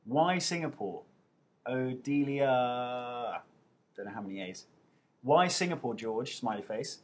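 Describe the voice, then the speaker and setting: A man chatting husky on webcam. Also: mid-range voice, animated, slow pace. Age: 30s. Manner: playful and self-mocking, reading out questions. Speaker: actor answering viewer questions. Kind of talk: casual webcam Q&A chat. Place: indoors at home. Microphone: webcam mic.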